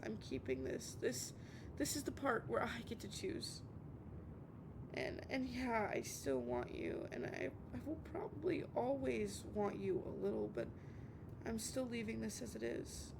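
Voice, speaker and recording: A woman's voice: creaky, heavily acted. A woman playing an obsessive, heartbroken young woman on a late-night call, stammering and repeating herself in a dramatic audio roleplay monologue. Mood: conflicted, firm. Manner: halting, stammering, repeating words. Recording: noisy with low rumble.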